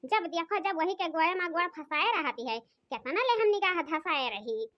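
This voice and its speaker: A woman voicing a gossipy, complaining young village wife in an Awadhi cartoon comedy, with an Awadhi accent and a shrill, nagging chatter. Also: expressive, moderate pace. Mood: grumbling. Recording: dry, muffled lo-fi.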